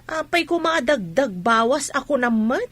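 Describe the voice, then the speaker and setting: High-voiced Ilocano gossip. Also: female voice, expressive, moderate pace, fairly bright tone. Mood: nosy, protesting. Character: cheeky, eager village gossip. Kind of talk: Ilocano comedy radio drama acting.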